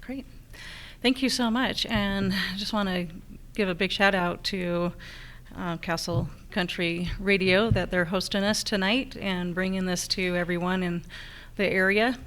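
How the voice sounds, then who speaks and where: A woman's voice: mid-range voice, fairly even intonation, a little slow, fairly bright tone, a little hiss or hum. A middle-aged American woman giving a candidate's introduction speech at a public forum. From rural Utah, United States. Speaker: political candidate.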